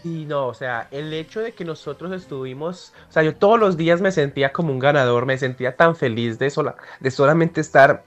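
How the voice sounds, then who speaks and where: A Colombian man, a pastry chef, talking excitedly and laughing often as a guest on a phone interview. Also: on the high side, a little fast, hardly any pauses, highs cut off, soft background music. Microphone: phone line.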